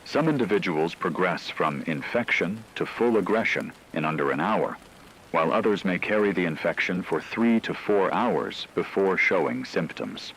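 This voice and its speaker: Deep-voiced male news anchor played by a man. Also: animated, fairly bright tone, slight background noise, poor audio. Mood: grave, clinical. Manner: formal, measured, clinical. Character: grave male radio news anchor in zombie apocalypse. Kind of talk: scripted breaking news radio report.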